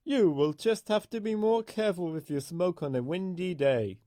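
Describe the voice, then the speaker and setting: Stern railway boss acted by a man, cross, scolding, raised pitch, children's storybook, dry sound. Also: normal speed, mellow, dry recording, lightly acted.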